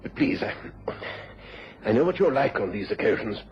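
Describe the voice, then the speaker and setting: An actor playing a genteel but stern country squire in a radio drama, courteous and hesitant, with polite hems. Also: male voice, mid-range voice, sing-song, moderate pace, a little mellow. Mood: stern, uneasy. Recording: dull, noisy old radio recording.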